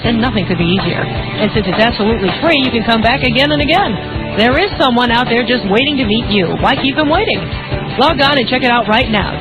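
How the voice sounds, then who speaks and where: Fast female ad voice over music. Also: a little high. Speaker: advertisement voice-over. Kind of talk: scripted radio ad read. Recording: dull radio audio, loud music bed.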